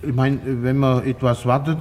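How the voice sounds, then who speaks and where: Man as a stammering, higher-voiced henchman, reverberant. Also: flat delivery, moderate pace, darkish tone, natural voice. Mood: flustered. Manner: stammering, rambling, repeats himself. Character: flustered, servile male gang henchman making excuses. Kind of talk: 1950s German radio comedy acting. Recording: reverberant old radio drama recording.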